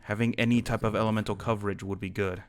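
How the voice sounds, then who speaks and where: Man playing low-voiced, earnest redeemed outlaw. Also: level tone, quick pace, clear, resonant tone, natural voice. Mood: thoughtful, earnest. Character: earnest reformed criminal, a principled dragon slayer man. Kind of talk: improvised Minecraft roleplay acting.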